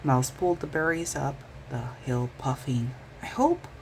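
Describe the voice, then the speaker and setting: A woman reading a children's bedtime story aloud in an expressive storytelling style. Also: rumbling low, moderate pace, a little hiss or hum, poor audio.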